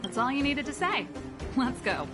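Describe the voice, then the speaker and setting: A woman's voice: sits high, sing-song, moderate pace, over music. An actress playing a headstrong, laid-back young woman rocker with a casual, wry manner in a video game. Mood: casual, determined.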